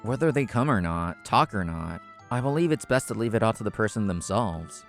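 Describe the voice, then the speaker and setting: A man narrating a scripted story in the first person, in a measured, explanatory manner. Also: fairly low pitch, expressive, soft background music. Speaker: narrator and main character of a manga video.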